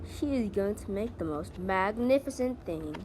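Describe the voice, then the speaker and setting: A female student reading a children's story aloud with deliberate, expressive phrasing. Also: mid-range voice, a little hiss or hum, poor audio. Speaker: student reader.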